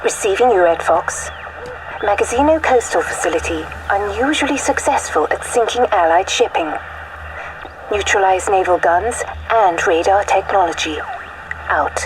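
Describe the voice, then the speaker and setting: An actress playing an official wartime radio controller in a video game, clipped and formal, heard through a radio. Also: female voice, medium pitch, normal speed. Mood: brisk, official. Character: crisp, official female military radio controller. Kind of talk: video game cutscene acting. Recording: noisy military radio transmission.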